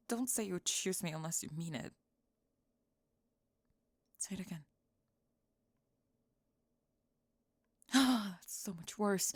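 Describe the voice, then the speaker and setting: A woman playing a young goth bully, defensive and reluctantly lovestruck, in an ASMR roleplay, speaking haltingly and dropping into whispers. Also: mid-range voice, no echo, pitched below the performer's own voice. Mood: flustered, overwhelmed. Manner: halting, breathy sighs, drops into whispers.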